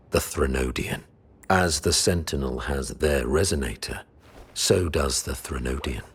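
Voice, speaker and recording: Very deep, solemn religious leader. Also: male voice, exaggerated voice. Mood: grave, confessional. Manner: solemn, oratorical, formal diction. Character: grave, commanding male religious leader, solemn and zealous. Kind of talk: dramatic video game voice acting. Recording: loud orchestral music behind. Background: loud game music.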